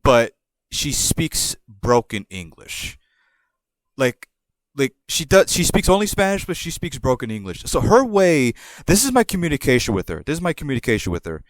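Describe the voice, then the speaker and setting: A man with a New York accent hosts a podcast, telling his story in a loose, rambling, profane way. His voice sits at an ordinary middle pitch and sounds close and dry, with no room around it. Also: moderate pace, dry recording, poor audio. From born in Puerto Rico, raised in the New York area. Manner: rambling, profane, animated retelling. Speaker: podcast host. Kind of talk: casual storytelling.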